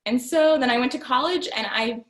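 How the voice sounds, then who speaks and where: A woman's voice: high voice, brisk, fairly bright tone, roomy sound. A young woman, a magazine writer, answering questions in a chatty, informal, self-deprecating way. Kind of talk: casual question and answer chat.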